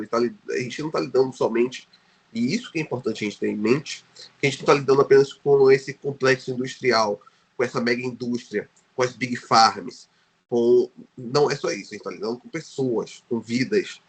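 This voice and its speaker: A man's voice: mid-range voice, brisk, slightly rough. A Brazilian man speaking Portuguese with a Brazilian accent as a podcast guest, talking with frequent fillers and restarts. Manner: hesitant, many restarts and fillers. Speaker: guest researcher. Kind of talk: podcast interview.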